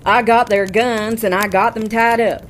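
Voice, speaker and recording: A woman's voice: on the high side, steady tone, normal speed, a little hiss or hum, lightly acted. A woman playing a tough, plain-spoken, kind-hearted cowgirl bounty hunter with folksy Old West talk in a western audio drama for children. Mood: brisk, commanding.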